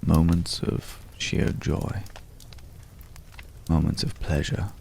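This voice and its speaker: Man playing a very gravelly, slow-spoken brooding gentleman. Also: a bass voice, steady tone, a little hiss or hum, full character voice. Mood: wistful, pensive. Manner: archaic, florid, hesitant, pensive phrasing. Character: mysterious, courtly, world-weary man at a night campfire. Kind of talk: slow, intimate ASMR roleplay acting.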